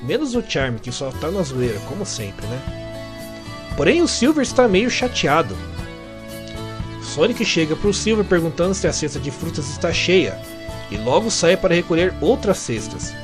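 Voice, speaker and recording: A man's voice: on the high side, very animated, normal speed, over music. A Brazilian man narrating a comic-story recap in a conversational, chatty way with amused asides. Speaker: narrator and fan translator of comic stories.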